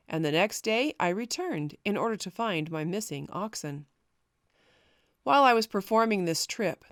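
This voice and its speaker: A woman narrating a historical memoir as an audiobook, reading in a measured, formal way. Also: medium pitch, no room sound, studio quality.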